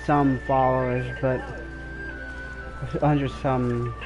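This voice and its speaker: A young man livestreaming a game, chatty, excitable and profane. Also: mid-range voice, slow, music in the background. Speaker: game streamer. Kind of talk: casual live gameplay commentary.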